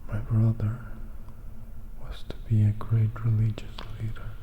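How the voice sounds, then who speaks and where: A man reading a story aloud in a hushed, deliberate ASMR whisper. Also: fairly low pitch, monotone, narrow, tinny audio, background noise. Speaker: ASMR reader.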